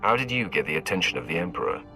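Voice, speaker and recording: An actor playing a cold, challenging Sith lord in a video game, stern and commanding. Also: male voice, deep voice, big pitch swings, racing, sharp tone, soft background music, heavily acted. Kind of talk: dramatic video game acting.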